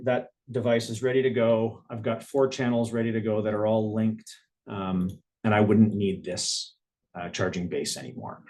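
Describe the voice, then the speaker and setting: A man's voice: fairly low pitch, mellow. A man presenting a live product demonstration in a webinar, explaining things conversationally and thinking aloud as he goes. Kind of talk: live product demo, casual technical presentation.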